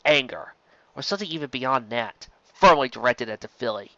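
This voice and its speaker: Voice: mid-range voice, expressive, fairly quick, fairly bright tone, no room sound, muffled recording. A narrator reading a story aloud from a script. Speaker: audiobook reader of fan fiction.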